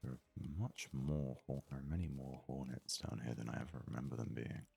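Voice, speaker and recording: A man giving soft-spoken, casual ASMR commentary while playing a video game, muttering in a hushed voice close to the mic. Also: a bass voice, steady tone, fairly quick, creaky. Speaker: gaming video host.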